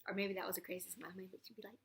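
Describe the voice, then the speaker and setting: A woman reading a storybook aloud to a young child in a playful, expressive way, with chatty asides and drops into whispers. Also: mid-range voice, rapid-fire, a little hiss or hum. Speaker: storybook reader.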